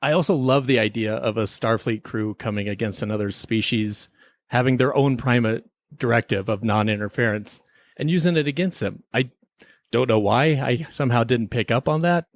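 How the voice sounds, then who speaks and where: A man's voice: mid-range voice, normal speed, no echo. A man hosting a podcast, talking through a review in a casual, playful and wry way. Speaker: podcast host.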